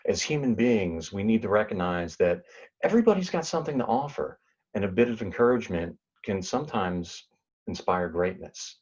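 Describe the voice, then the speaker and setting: A man's voice: fairly low pitch, lively intonation, moderate pace, a little mellow, husky, dull audio. A man giving earnest, encouraging reflective commentary as a presenter.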